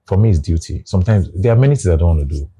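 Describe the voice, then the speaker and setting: A man's voice: low voice, expressive, racing, dark tone, husky. A Nigerian man in his forties with a Nigerian English accent, speaking as an interview guest and reflecting aloud in casual conversation.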